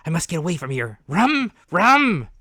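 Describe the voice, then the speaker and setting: A man voicing a rough, hot-tempered old pirate captain who barks out exclamations in a storybook reading. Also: a little high, very animated, normal speed, no room sound, clean studio sound, pitched above the performer's own voice, heavily acted. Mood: desperate, demanding. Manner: loud, barking exclamations.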